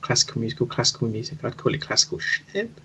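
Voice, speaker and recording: A man's voice: mid-range voice, expressive, quick pace, bright, forceful tone, a little hiss or hum. A man chatting casually in a blunt, rambling way over dull online call audio. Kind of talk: casual chat.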